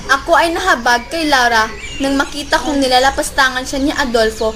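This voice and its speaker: A woman's voice: high-pitched, fairly quick, close to an everyday voice. A woman playing a brave, devoted young warrior maiden in an amateur radio drama, with formal phrasing. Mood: earnest recounting. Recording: noisy homemade recording with birdsong.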